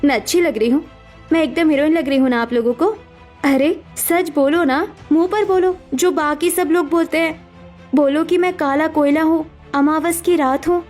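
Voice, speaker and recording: A woman acts out a hurt young sister-in-law in a cartoon drama, defensive and mistrustful. The voice is very high, over faint music. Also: fairly even intonation, moderate pace, a little mellow, close to an everyday voice. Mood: bitter, sarcastic. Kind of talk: cartoon family drama voice acting.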